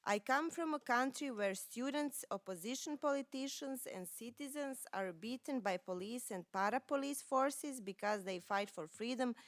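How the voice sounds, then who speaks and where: A woman's voice: on the high side, normal speed, few pauses, no room sound. A woman from Serbia, a native Serbian speaker with a Serbian accent, delivering a prepared political speech.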